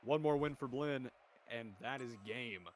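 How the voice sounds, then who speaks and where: A young man with a deep voice streaming esports commentary from home, chatting with viewers and calling plays. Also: a little slow, a little hiss or hum. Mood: excited. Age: young adult. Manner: rambling, chatty, restarts often, bursts louder on goals. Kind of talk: casual solo game commentary and chat reading. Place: home room.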